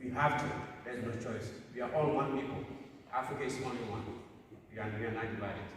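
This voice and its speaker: A man's voice: mid-range voice, brisk, a bit bright. A man from Somalia, a council representative, answering audience questions earnestly and repeating his points for emphasis. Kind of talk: public Q&A answer. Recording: echoey hall, distant mic, faint noise.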